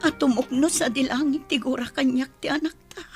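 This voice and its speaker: Woman acting a high-voiced, remorseful mother. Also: fairly quick, soft background music, lightly acted. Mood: hurt, pleading. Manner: emotional, pleading. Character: remorseful mother returned from working abroad. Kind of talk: radio drama acting.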